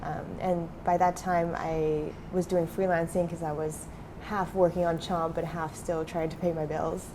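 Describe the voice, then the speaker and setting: A woman's voice: fairly low pitch, flat delivery, a little slow, background noise. A young adult woman who grew up in Switzerland, talking casually as a startup founder in an interview, with many fillers.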